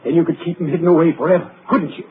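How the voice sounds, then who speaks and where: An actor playing a tired, patient asylum doctor who speaks calmly and soothingly in a 1940s radio drama. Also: male voice, fairly high pitch, racing, close to an everyday voice. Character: weary middle-aged male asylum doctor, patient and firm. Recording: muffled lo-fi 1940s radio broadcast with hiss.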